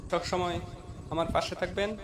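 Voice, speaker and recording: A man hosts a casual step-by-step tutorial. His voice sits a little high and moves very little in pitch. He talks instructionally, often repeating himself as he addresses viewers. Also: flat delivery, moderate pace. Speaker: tutorial host. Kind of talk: casual how-to tutorial narration.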